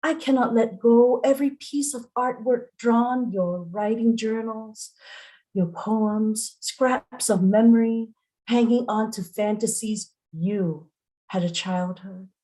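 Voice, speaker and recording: A middle-aged woman poet performs at an open mic. She speaks slowly and deliberately, with an earnest manner. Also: a little high, dark tone, poor audio. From Singapore. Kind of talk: spoken introduction to open mic poetry. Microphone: online video call at home.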